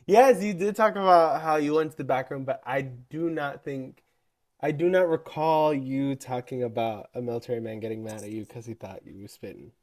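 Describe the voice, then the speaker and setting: A young man co-hosts a casual podcast, his voice sitting in an ordinary middle pitch. He jokes in a dry, deadpan way and lets his words drag out lazily. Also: moderate pace. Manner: dry, deadpan joking, drawn out. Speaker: podcast co-host. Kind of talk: casual chat.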